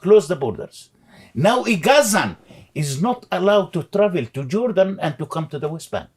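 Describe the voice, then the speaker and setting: A man's voice: high-pitched, big pitch swings, fairly quick, darkish tone. A man with a Palestinian Arabic accent, whose first language is Arabic, speaking as an interview guest in an emphatic way and repeating his words. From Gaza. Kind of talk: conversational interview.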